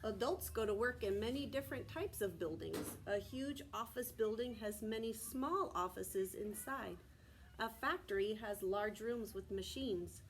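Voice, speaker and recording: A woman's voice: medium pitch, lively intonation, measured pace, slight background noise. An American woman, a preschool teacher from Texas, reads aloud to young children in simple phrases, stopping to explain and ask questions. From Texas, United States.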